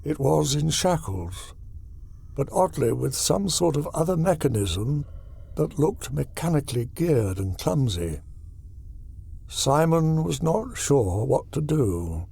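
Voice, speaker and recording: Slow, gravelly male horror narrator. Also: on the high side, very animated, warm tone. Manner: deliberate, storytelling. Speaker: audiobook narrator. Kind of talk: scripted dramatic horror narration.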